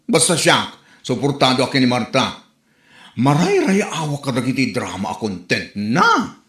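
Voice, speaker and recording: Animated Ilocano Filipino man acting, roomy echo. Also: medium pitch, moderate pace. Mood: scolding, defensive. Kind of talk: Ilocano comedy audio drama acting. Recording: roomy, echoing home recording.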